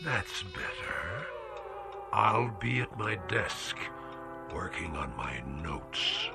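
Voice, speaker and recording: Radio drama: a tyrannical old uncle, slow and drawn-out, pitch swooping from growl to whisper. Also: male voice, big pitch swings, creaky, music in the background, full character voice. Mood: sneering. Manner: sneering, insulting, drops to a menacing whisper. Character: cruel domineering elderly uncle.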